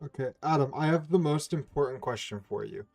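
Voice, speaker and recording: A man co-hosting a casual coding stream, asking questions and joking in a relaxed way. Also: medium pitch, a little fast, vocal fry, faint music behind.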